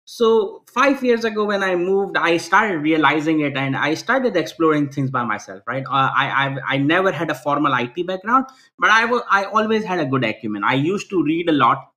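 A man's voice: fairly high pitch, expressive, moderate pace, bright, forceful tone. A man, answering interview questions earnestly over a video call, restarting phrases often and ending points with "right?". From Pakistan. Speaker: guest.

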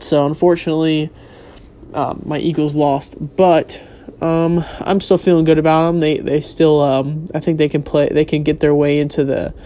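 A man's voice: on the high side, a little mellow. A young man with a stuffy voice from a cold, talking casually and rambling with many ums. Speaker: vlogger. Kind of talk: casual solo talk on football and sneakers. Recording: faint background noise.

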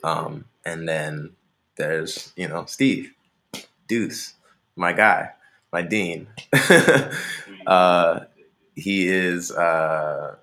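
Very deep, gravelly-voiced young man. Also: drawn-out words, pauses often, bright, forceful tone. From Maryland, USA. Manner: laid-back, rambling, many fillers. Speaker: interview guest, musician. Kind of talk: casual conversational interview.

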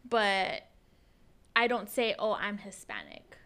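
A woman co-hosting a casual podcast, chatty and quick to laugh, with many fillers. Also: mid-range voice, sing-song, sharp tone. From United States. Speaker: podcast co-host. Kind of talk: casual conversational chat.

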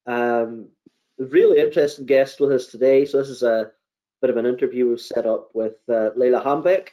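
A male podcast host with a mellow, warm tone and a mid-range voice. He chats casually and rambles, often repeating words as he asks his questions. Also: medium pitch, fairly even intonation, moderate pace, rough recording. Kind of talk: casual interview.

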